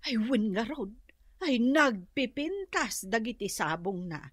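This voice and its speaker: An actress playing a chatty, curious, easily startled older neighbour in a comedic radio drama, full of drawn-out exclamations. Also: female voice, fairly high pitch, sing-song, fairly bright tone, no echo, clean studio sound, full character voice.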